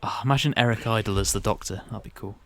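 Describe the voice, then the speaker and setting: A man's voice: lowish voice, quick pace, a bit bright. A young man co-hosting a podcast, reading out quiz questions and chatting casually. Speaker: podcast co-host and quizmaster.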